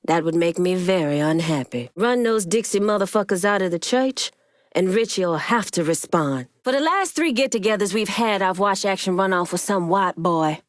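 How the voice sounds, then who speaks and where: A stern Haitian woman crime boss from a video game, acted by a woman, recorded dry. Her voice sits at a medium pitch. She is proud and shrewd, with a commanding, deliberate, street-tough delivery. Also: animated, dry recording, lightly acted. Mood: firm, menacing. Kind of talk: video game character dialogue.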